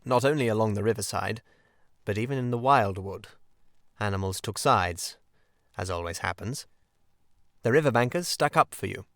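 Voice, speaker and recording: A man voicing an earnest, excitable water rat in a storybook, speaking in an emphatic and deliberate manner. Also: lowish voice, dry recording, studio quality. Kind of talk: audiobook character acting.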